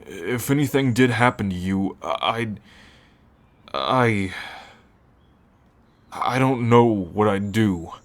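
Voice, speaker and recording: A man playing a gruff, brooding teacher who is turning into a werewolf, halting and protective, in an ASMR roleplay. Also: mid-range voice. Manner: halting, sighing, sardonic.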